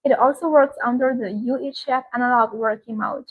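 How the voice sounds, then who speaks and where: A woman with a slightly high voice hosts an informal live product demonstration. She explains features step by step in halting, non-native English. She often circles back to repeat her key selling points. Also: steady tone, breathy edge, phone-quality sound. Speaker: live-stream sales host.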